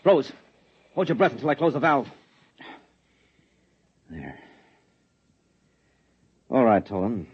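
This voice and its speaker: A man's voice: mid-range voice, sing-song, slow pace, long pauses, husky, full character voice. An actor playing a hard Earthman interrogating an alien in a 1950s radio drama, clipped and menacing. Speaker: husband in a radio play. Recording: dull vintage radio recording.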